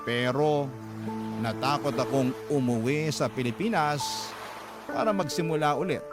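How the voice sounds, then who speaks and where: A Filipino man with a medium-pitched voice reads a personal story as a radio drama narrator. His delivery is first-person dramatic storytelling, and music plays clearly behind him. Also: measured pace. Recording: background music.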